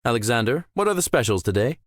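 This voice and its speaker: A man's voice: medium pitch, sing-song, quick pace, dry recording. A man voicing a suave, self-assured young billionaire in a visual novel, with formal, polished and theatrical phrasing. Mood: composed, formal. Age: young adult. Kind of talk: visual novel character acting.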